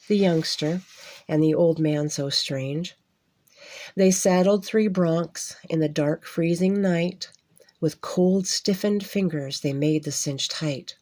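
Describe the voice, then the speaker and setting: A woman reading a rhyming Western Christmas tale aloud in an expressive, measured storytelling manner. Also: lowish voice. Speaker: storybook reader.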